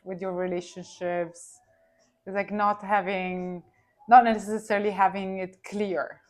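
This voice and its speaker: A woman's voice: mid-range voice, level tone, measured pace. A woman from Milan with an Italian accent, a native Italian speaker, talking as a podcast guest in a reflective way, often searching for words. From Milan, Italy. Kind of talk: casual interview chat.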